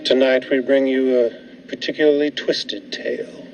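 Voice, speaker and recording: A man's voice: medium pitch, fairly even intonation, normal speed. A man announcing a radio suspense play in a scripted show introduction. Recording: dull lo-fi, loud music behind.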